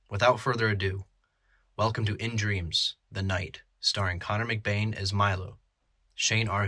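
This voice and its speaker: A man reading scripted audio drama narration in a measured, dramatic storytelling manner. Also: lowish voice, sharp tone. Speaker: narrator, writer and director.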